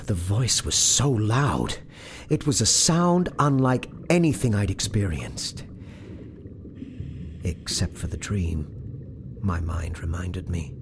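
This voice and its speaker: A man narrating a cosmic horror story in the first person, hushed and tense, with a gravelly edge. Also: on the low side, animated, slow, long pauses, slight background noise. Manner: tense, hushed, building to anguished cries. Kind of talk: dramatic horror audiobook narration. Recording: narration over eerie music.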